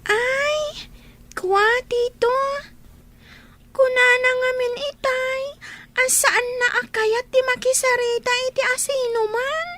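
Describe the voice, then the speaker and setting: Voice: extremely high pitch, fairly even intonation, slow. A performer playing a shy, polite young girl with a hesitant, childlike delivery in a radio drama. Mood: shy, apologetic. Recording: noisy track with clicks.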